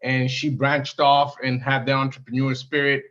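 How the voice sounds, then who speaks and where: Man from zambia chatting, friendly, bright voice, muffled stream audio. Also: medium pitch, level tone, moderate pace. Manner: friendly, enthusiastic. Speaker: guest golfer. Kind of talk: casual interview chat.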